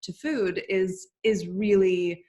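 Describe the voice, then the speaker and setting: A woman's voice: medium pitch, fairly even intonation, slow, mellow. A woman, chatting as a podcast guest with many fillers and restarts. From United States. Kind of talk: casual interview chat.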